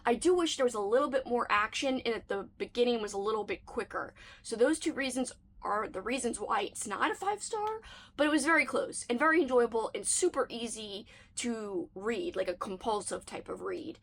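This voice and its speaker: A woman's voice: on the high side, lively intonation, normal speed. Chatty woman with a slightly worn voice. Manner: chatty and enthusiastic, with playful asides. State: slightly losing her voice. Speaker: book reviewer. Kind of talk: casual reading wrap-up chat.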